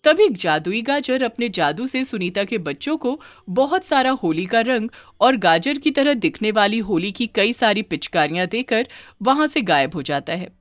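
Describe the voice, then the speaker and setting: A woman narrating a scripted children's moral story with dramatic, expressive delivery. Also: a little high, normal speed, no room sound.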